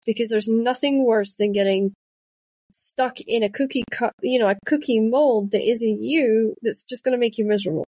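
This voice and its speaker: Woman, thirties, podcast host, chatty storyteller, higher voice, dry close sound. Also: moderate pace, mellow, no room sound. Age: 30s. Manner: chatty, storytelling with quoted asides. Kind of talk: casual conversation.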